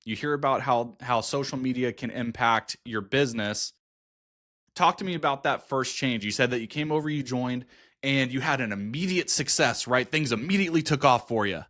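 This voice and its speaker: Male podcast host, mid pitch, warmly encouraging, talks at length. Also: moderate pace. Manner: encouraging, talks through points at length with restarts. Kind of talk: interview podcast.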